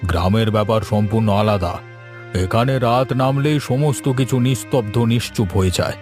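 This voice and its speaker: A man's voice: on the low side, normal speed, a little mellow, faint music behind, natural voice. A man playing a cautious, worried village relative in a cartoon story. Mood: concerned.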